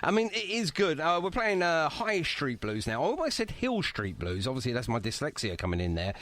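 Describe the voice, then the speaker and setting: A fairly high-voiced man hosting a music podcast. His pitch moves around in a very lively way as he chats casually with guests, often in a self-deprecating manner. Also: brisk. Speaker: podcast host interviewing a band. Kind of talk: casual music interview chat.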